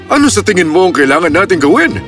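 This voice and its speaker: A man voicing a concerned, doting king and father in an animated children's story. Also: sits high, fast talker, soft background music. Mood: worried.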